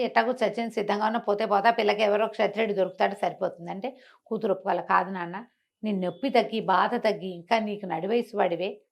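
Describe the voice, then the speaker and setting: A middle-aged woman telling a moral story in an interview, animated and acting out the characters' lines with a changing voice. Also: mid-range voice, vocal fry. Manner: expressive, acts out the characters' lines with shifting voice. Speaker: storyteller guest.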